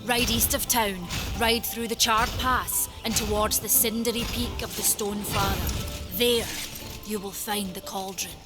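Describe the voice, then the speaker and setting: Actress as sturdy maker of the forge; mid-pitched, earnest, proud, old-world phrasing, over a loud game score. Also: female voice, steady tone, measured pace, natural voice. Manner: frank, earnest, archaic turns of phrase. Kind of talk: dramatic fantasy game acting. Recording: loud music behind the voice.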